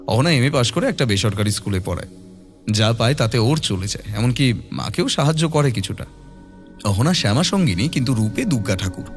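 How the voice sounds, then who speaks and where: A man's voice: mid-range voice, lively intonation, brisk, faint music behind. A man narrating a scripted horror audio story in a dramatic storytelling style.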